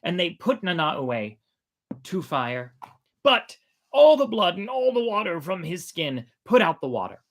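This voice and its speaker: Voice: mid-range voice, big pitch swings, measured pace. A storyteller hosting a show, telling folk tales informally in a playful, conversational way.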